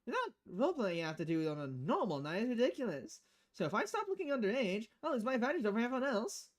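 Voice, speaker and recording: Woman doing a chirpy robot girl for a game, high n bouncy, cheeky, chatty. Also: sing-song, fast. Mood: frank, chatty.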